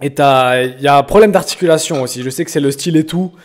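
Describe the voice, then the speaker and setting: A young man from France chatting casually on a live stream, joking and teasing viewers in slangy talk with sudden loud bursts. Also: a little high, fast. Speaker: streamer reacting to viewers' music.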